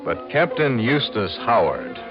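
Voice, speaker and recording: An actor playing a sharp, impatient investigator in an old radio detective drama, with a wry, clipped delivery. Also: male voice, lowish voice, big pitch swings, measured pace. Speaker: lead detective and narrator. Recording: dull old radio recording, music behind.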